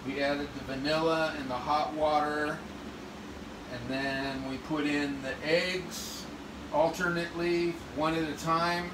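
Middle-aged man, slightly high voice, rambling recipe talk, echoey kitchen, far from phone mic, steady hum. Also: a little slow. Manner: rambling, explains recipe step by step. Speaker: home cook. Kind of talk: casual cooking demo. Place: small home kitchen. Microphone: phone camera across the room.

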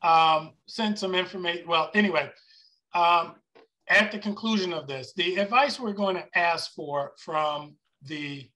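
A man chairing a formal meeting over a video call, explaining things in a measured way. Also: fairly high pitch, pushed and bright.

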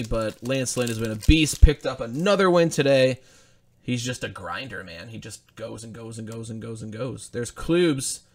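A young man hosting a live card break, chatting casually as he rattles off player names while opening packs at a desk in a small studio. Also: mid-range voice. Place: indoor studio with branded backdrop.

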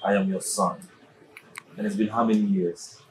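An actor playing a cheerful, doting adult son with a Nigerian accent, affectionate and effusive in a film drama. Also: male voice, fairly low pitch, steady tone, moderate pace, slight background noise, natural voice, rough recording.

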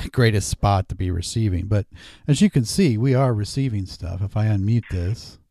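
A man hosting a casual screen-recorded software tutorial, explaining each step informally. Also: lowish voice, expressive, moderate pace, gravelly, no room sound, clean studio sound. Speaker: tutorial host. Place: indoors at a desk.

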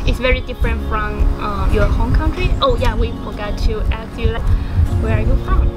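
A woman's voice: fairly high pitch, lively intonation, brisk. A young woman hosting a casual interview outdoors, friendly and prompting with questions. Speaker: host. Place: outdoors on a university campus. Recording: soft music bed under speech.

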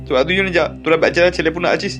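A man acts out a boastful cartoon gang boss who swears and orders people about. He rattles along at breakneck speed in a fairly high voice. Faint music sits behind him. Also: rapid-fire, a bit bright, lightly acted. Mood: scolding, blustering. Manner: blustering, swearing, bossy. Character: foul-mouthed, boastful gang leader hiding his fear. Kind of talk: comic cartoon dub.

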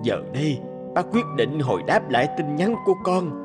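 A man voicing a kind older man who lost his only son, speaking gently and consolingly in an animated drama. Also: a little high, normal speed. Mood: gentle. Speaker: voice actor. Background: background music.

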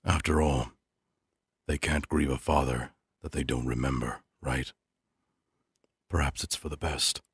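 A man playing a melancholy, lonely exiled skeleton scientist in a comic dub, formal and brooding, with a breathy, near-whispered voice. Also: rumbling low, steady tone, no room sound, studio quality, full character voice. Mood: sorrowful, musing. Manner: brooding, formal, slow musing. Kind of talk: dramatic comic-dub monologue. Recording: loud music under the voice. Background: loud music.

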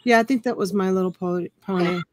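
A woman's voice: medium pitch, fairly quick, a little mellow, slight background noise. A woman in her forties hosting a casual live-stream auction from her home, talking in a chatty, folksy way and drawing out the calls for bids. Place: home room full of plush toys and a dress form. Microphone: webcam on a computer.

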